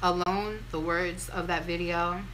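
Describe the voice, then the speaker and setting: Young adult woman, American accent, low bright voice, candid casual vlog chat, self-deprecating, some background noise. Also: steady tone, a little slow, rough recording. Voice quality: deep voice. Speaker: vlogger. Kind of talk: casual chatty get-ready-with-me storytime.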